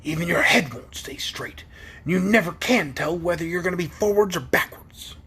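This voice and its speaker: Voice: mid-range voice, normal speed, pushed and bright, husky, voice pushed up, exaggerated voice. A storybook reader voicing a grumpy wooden sawhorse who mutters insults in a sneering way.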